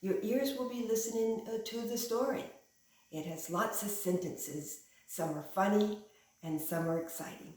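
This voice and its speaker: A woman reading a picture book aloud like a teacher, with simple, instructive phrasing and expressive stress on key words. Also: mid-range voice, normal speed. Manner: simple, instructive phrasing aimed at young children. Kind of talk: animated children's picture book read-aloud. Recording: echoey room.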